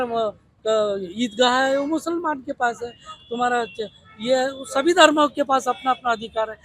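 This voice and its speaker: A Maharashtrian man speaks in a very high-pitched, forceful voice. He argues like a protest activist, pressing his case with repeated rhetorical questions. The sound is dry and close. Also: no room sound. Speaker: protest leader and party activist. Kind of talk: impassioned protest interview.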